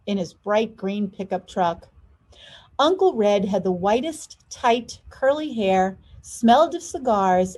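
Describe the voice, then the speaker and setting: A woman reading a children's storybook aloud in an expressive, dramatized storytelling manner. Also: a little high, low-quality recording. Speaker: school leader reading aloud.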